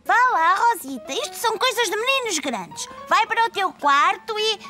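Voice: very high-pitched, very animated, normal speed, bright, forceful tone, soft background music, exaggerated voice. A performer voicing a cheerful, naive four-year-old boy with a European Portuguese accent in a children's cartoon dub. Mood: playful. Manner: childlike, eager, simple phrasing.

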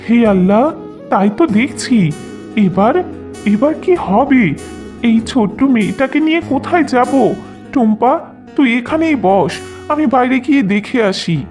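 A fairly high, plaintive mother's voice acted by a woman in a cartoon fairy tale. She is a poor, pious villager, anxious yet tender and soothing, with clear music behind her. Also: expressive, normal speed, long pauses, darkish tone, close to an everyday voice.

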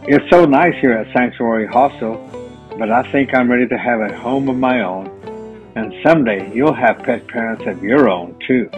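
A man's voice: mid-range voice, moderate pace, narrow, tinny audio, soft background music, close to an everyday voice. A man voicing a friendly rescued dog who shows newcomers around, in an upbeat tour-guide manner. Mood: cheerful.

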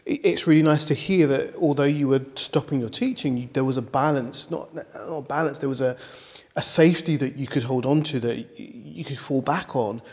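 A man hosts a studio interview and asks encouraging, reflective questions. His voice sits at a mid pitch. A long echo hangs in the room. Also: brisk, hardly any pauses, darkish tone, breathy edge. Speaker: interview host, director of training. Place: studio set with leather sofas.

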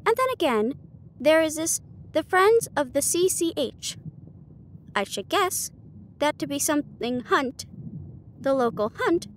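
A woman voicing an earnest, eager gentleman, a detective's companion, speaking formally in an audiobook. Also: high-pitched, slight background noise. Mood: tentative.

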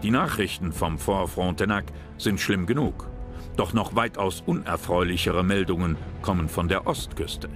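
A man with a low voice delivers scripted narration for a history documentary. Music plays clearly underneath him. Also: moderate pace.

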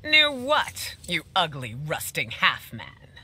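An actress playing a proud, haughty warrior woman in a video game, with deliberate, dramatic delivery. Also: low-pitched, wildly swooping pitch, bright, forceful tone, husky, heavily acted. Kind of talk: scripted video game fight dialogue.

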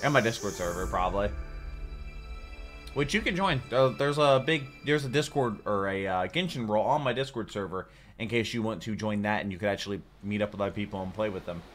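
A man's voice: on the low side, fairly quick, a bit bright. A man, giving chatty, informal reaction commentary. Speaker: reaction video host. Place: small home room, indoors. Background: faint music.

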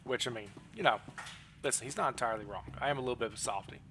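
A man's voice: mid-range voice, rapid-fire, pushed and bright, slight background noise. A man streaming a game with casual live commentary in a playful, teasing manner. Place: indoor room at a streaming setup. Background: game music.

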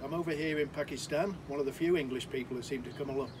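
Man, 60s, from the Isle of Man, enthusiastic, emphatic, outdoor balcony, camera mic, clear street noise. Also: a little high, quick pace. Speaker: tourist giving a testimonial. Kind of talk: unscripted testimonial to camera.

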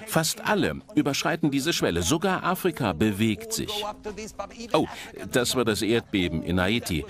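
Male lecturer, lowish pitch with lively swings, emphatic explainer, dramatic pauses, audience noise behind. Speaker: presenter. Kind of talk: animated documentary lecture to an audience.

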